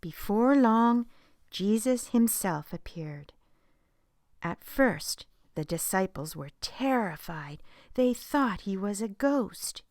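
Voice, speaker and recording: Slow, gentle woman reading to kids. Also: medium pitch, no room sound, studio quality. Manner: expressive storytelling, hushed whispers at dramatic moments. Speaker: children's story reader. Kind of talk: reading a Bible story aloud to children.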